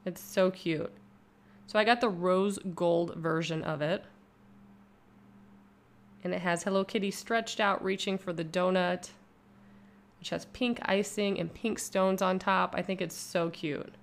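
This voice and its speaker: A woman's voice: fairly low pitch, fairly even intonation, unhurried, pauses often. A young woman vlogger doing a casual, upbeat voice-over review of a bath product from home. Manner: upbeat, chatty and enthusiastic. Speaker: YouTube vlogger.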